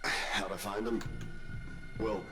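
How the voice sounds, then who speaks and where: Male robot detective, extremely deep, weary, wry, laconic, video game, music behind. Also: lively intonation, takes it slowly, bright, forceful tone, full character voice. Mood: matter-of-fact. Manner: laconic, reflective.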